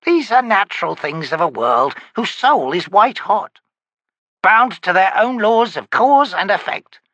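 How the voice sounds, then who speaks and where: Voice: medium pitch, very animated, a bit bright, dry recording, dull audio, voice pushed up. A narrator voicing an ancient, cackling, toothless old woman who hisses and shrieks her cryptic answers. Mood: lecturing. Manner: hissing, shrieking, slow cryptic pronouncements.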